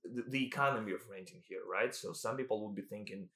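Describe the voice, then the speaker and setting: A man's voice: lowish voice, fast talker, sharp tone, echoey room. A man from Russia, a real estate agent chatting and explaining as a podcast guest. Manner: chatty and explanatory, laughs easily. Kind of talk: podcast interview.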